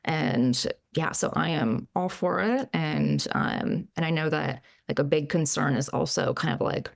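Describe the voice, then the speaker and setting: Woman, 30s, psychologist guest, chatty, filler-heavy, gravelly fry, dry close sound. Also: low voice, a little slow, no room sound. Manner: chatty, frequent "like" and "you know" fillers. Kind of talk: casual interview conversation.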